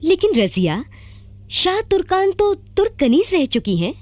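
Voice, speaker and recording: An actress playing a curious, concerned confidante of a princess in a historical radio drama, in an animated, theatrical manner. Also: female voice, very high-pitched, moderate pace, dry recording. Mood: shocked, probing.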